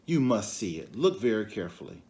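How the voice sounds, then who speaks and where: Man voicing sly trickster Anansi, lively and coaxing. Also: lowish voice, brisk. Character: sly, lazy trickster Anansi, falsely friendly.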